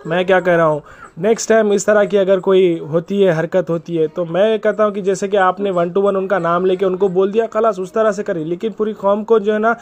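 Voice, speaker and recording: High-pitched, polite man on a call. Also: fairly even intonation, brisk, darkish tone. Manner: polite, deferential, repeats himself for emphasis. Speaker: caller. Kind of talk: earnest phone conversation, persuasive appeal. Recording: recorded phone call.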